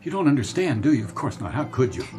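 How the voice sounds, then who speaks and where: A male actor plays a troubled man aching for his childhood in a radio drama. His medium-pitched voice is earnest and nostalgic, with music playing faintly behind it. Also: big pitch swings, mellow, close to an everyday voice. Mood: agitated, pleading. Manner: earnest, wistful, halting when distressed.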